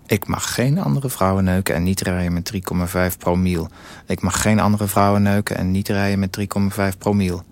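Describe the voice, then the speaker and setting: An actor playing a cynical, unfaithful husband in a radio drama, speaking sardonic, coarse inner thoughts in a gravelly voice. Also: male voice, deep voice, fairly even intonation, a little fast, darkish tone. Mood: bitter, confessional.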